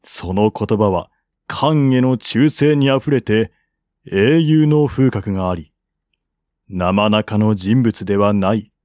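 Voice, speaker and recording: A man reads a historical novel aloud as scripted narration, in a measured, formal, literary manner. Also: lowish voice, a little mellow, no room sound, clean studio sound.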